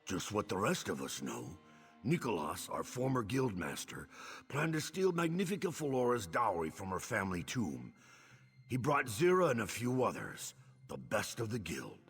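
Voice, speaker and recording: A man's voice: low-pitched, vocal fry, fairly bright tone, big-room sound, heavily acted. A man voicing a wry, musing thieves guild member in a video game, with a theatrical delivery.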